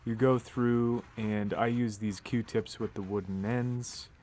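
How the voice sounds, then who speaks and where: Low-voiced man, casual studio how-to. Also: steady tone, slow, a little mellow. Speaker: recording engineer and studio host. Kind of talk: casual how-to narration over a demonstration. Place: inside a recording studio. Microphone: phone video microphone.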